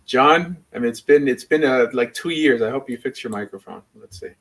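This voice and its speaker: A man's voice: mid-range voice, lively intonation, brisk, a bit bright. A man speaking casually as a rabbi and livestream host, rambling and opinionated in an informal way. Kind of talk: casual livestream chat and debate.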